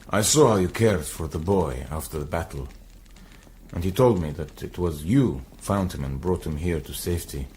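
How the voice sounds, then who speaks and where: An actor playing an earnest, courteous, proud young medieval soldier in a radio drama, formal and sincere. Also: male voice, deep voice, a little mellow, a little hiss or hum. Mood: courteous, guarded. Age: young adult.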